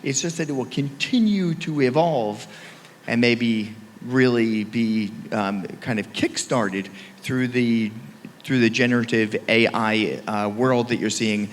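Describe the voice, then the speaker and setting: A man's voice: mid-range voice, a little hiss or hum. Emphatic man speaking in a reverberant hall. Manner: emphatic, punchy, speeds up and slows down for stress. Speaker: panelist at an industry conference. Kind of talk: conference panel answer. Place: conference hall.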